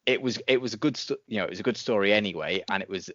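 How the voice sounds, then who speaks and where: A man's voice: on the low side, very fast, a bit bright, dry recording, highs cut off. A man co-hosting a podcast in a casual, conversational way, circling and rephrasing his points. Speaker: podcast co-host. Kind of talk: casual review chat.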